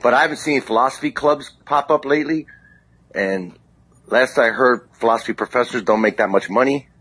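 A plain-spoken, opinionated man with a husky voice, chatting casually as a comedian guest in an interview. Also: mid-range voice, brisk, fairly bright tone, low-quality recording.

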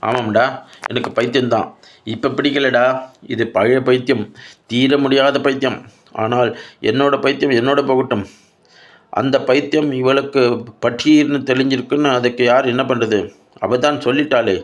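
A man's voice: medium pitch, fairly even intonation, moderate pace, long pauses, pitched above the performer's own voice, close to an everyday voice. A man voicing an anguished, defiant old widowed grandmother in a story, scolding and pleading with insistent questions. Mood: resolute.

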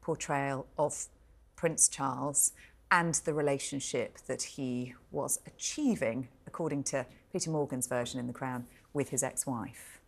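A British woman in her forties giving measured, articulate commentary as a royal editor in a television studio, stressing key words. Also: extremely low pitch, creaky. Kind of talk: live broadcast review and commentary.

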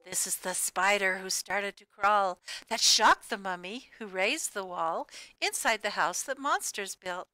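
A woman reading a rhyming picture book aloud to children in an expressive, sing-song way with emphatic key words. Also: medium pitch, unhurried, dry recording. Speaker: storybook reader.

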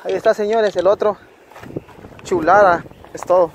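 A man's voice: high voice, fairly even intonation, rough recording. A man narrating a fishing trip to his viewers from a rocky lakeshore, cheering on and coaching his friend as he reels in fish. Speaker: fishing vlogger. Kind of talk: casual outdoor narration to viewers. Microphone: handheld phone camera. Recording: wind and water noise on phone mic. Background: wind and rushing water.

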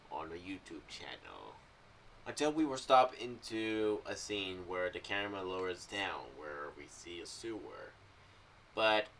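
A young man giving casual, rambling commentary to camera with a halting, emphatic delivery. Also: on the low side, a bit bright, distant mic, slight background noise. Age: young adult. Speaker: fan theory vlogger. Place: small home room. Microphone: boom-arm condenser mic with pop filter.

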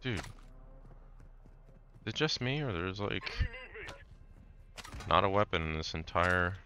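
A man hosting casual gameplay commentary, raspy and hoarse from a cold, laid-back with startled outbursts. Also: low-pitched, animated, slow pace, pushed and bright. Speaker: gaming channel host.

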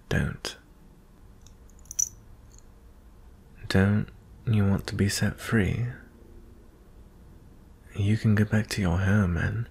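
A man playing a gentle, merciful young prince in an intimate ASMR fantasy roleplay, soft-spoken and hesitant, often slipping into whispers. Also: fairly low pitch, vocal fry, slightly rough, heavily acted. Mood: concerned, hesitant. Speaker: audio roleplay performer.

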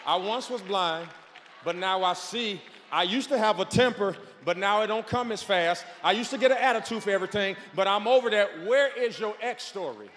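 A man in his forties, a pastor, preaching a sermon on a large church stage with a handheld microphone, shouting and calling on the crowd to respond. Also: high voice, normal speed, slight background noise. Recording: long hall reverb.

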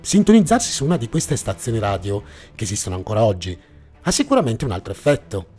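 A man's voice: on the low side, wildly swooping pitch, quick pace, warm tone. A man narrating a scripted mystery documentary in a suspenseful, dramatic storytelling manner. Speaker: narrator and host of a mystery series. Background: background music.

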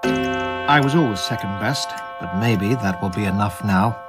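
Wistful male suitor played by an actor, radio drama: low voice, loud musical backing. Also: a little slow, loud music behind the voice, lightly acted. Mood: wistful, hopeful.

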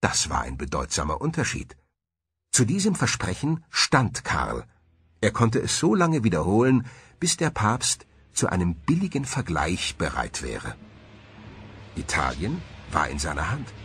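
A man's voice: low-pitched, sing-song, measured pace, a bit bright, breathy edge, no echo. A man delivering scripted historical narration as a documentary narrator, with dramatic, emphatic storytelling.

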